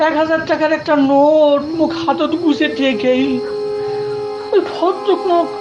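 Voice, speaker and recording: A man's voice: extremely high pitch, moderate pace. A man playing a poor, humble old rickshaw puller in an audio drama, plaintive, with a northern Bangladeshi Rangpur accent. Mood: bewildered. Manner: plaintive, pleading. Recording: dull sound with music behind.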